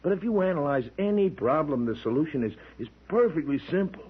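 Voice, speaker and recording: A man playing a smug, calm hired killer who muses condescendingly in an old radio crime drama. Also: fairly high pitch, husky. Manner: musing, condescending, unhurried. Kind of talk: 1940s-50s radio crime drama acting. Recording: tinny vintage radio recording.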